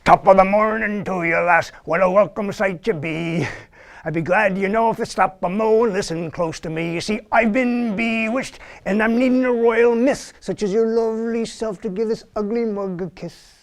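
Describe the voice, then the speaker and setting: A man's voice: high voice, normal speed, gravelly, voice pushed up. A man voicing a cheeky, bewitched talking frog with a lilt and sing-song patter. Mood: wheedling.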